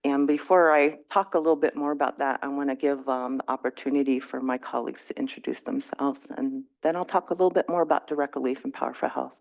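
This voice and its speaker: A woman from the Navajo Nation, a government relations advisor, introducing herself and her team to a council politely and calmly. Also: a bass voice, quick pace, creaky, hardly any pauses. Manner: polite, composed, a few ums. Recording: dull lo-fi meeting audio.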